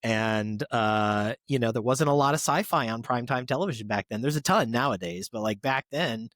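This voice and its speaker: A man's voice: medium pitch, normal speed, fairly bright tone, no room sound, studio quality. A man chatting casually and reminiscing as a panelist on a podcast.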